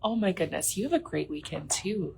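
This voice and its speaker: A woman's voice: on the low side, big pitch swings, fairly quick, gravelly, mellow, slight background noise. A young woman co-hosting a casual advice chat, who repeats phrases and encourages her co-host. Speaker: co-host at a bra shop.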